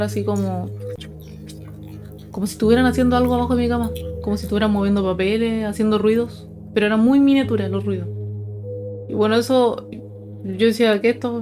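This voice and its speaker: A young woman talks over clearly audible background music. Her voice sits at an average pitch for a woman. She tells her own stories informally, explaining things to viewers as a casual video host. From Chile. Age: young adult. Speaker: paranormal storytelling video host. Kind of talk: casual personal storytelling to viewers.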